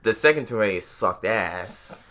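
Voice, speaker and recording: A man's voice: lowish voice, lively intonation, unhurried, bright, forceful tone, slight background noise. A man co-hosting a podcast in casual chat about movies, speaking bluntly with some swearing and often repeating his phrases. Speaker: podcast host.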